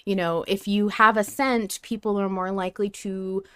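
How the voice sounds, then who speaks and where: A woman chatting casually as a podcast host, animated, informal and rambling. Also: mid-range voice, moderate pace, clear, resonant tone, no room sound, clean studio sound. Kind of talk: casual chat.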